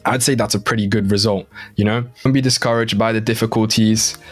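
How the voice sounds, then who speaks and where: Young male native English speaker for language-learning explainer: lowish pitch, little melodic movement, casual and reassuring. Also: steady tone, a little fast, soft background music. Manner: encouraging, reassuring. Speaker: language-learning video host. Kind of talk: casual explanatory talk to camera.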